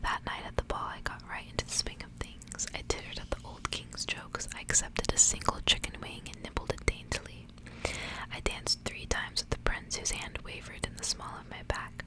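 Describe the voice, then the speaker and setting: A woman reading a literary tale aloud for ASMR in a hushed, unvoiced whisper. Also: moderate pace, rough recording. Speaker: ASMR reader.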